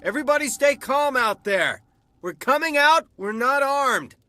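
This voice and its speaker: An actor voicing a rattled colony farmer in a video game, talking in a plain, folksy, urgent way. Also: male voice, very high voice, lively intonation, measured pace, sharp tone, no room sound, heavily acted. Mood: anxious calling out.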